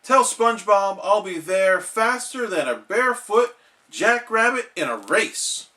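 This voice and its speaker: Casting: man voicing a bossy female cartoon squirrel, raised pitch, forceful and commanding. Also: expressive, a little slow, heavily acted. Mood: scolding.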